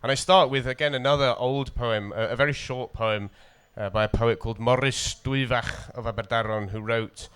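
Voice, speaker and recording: A man from North Wales with a North Welsh accent, a poet introducing and reading poetry at a festival in a measured, deliberate way. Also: medium pitch, fairly even intonation, fairly bright tone, clear, resonant tone. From coastal North Wales.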